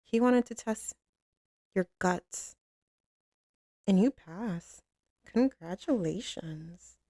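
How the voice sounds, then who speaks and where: A woman's voice: medium pitch, drawn-out words, mellow, dry recording, studio quality. A woman playing a tough, commanding mafia boss who turns tender and teasing with her boyfriend, in an intimate ASMR roleplay with streetwise talk. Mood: affectionate, reminiscing. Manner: drawling, teasing, streetwise, with pet names.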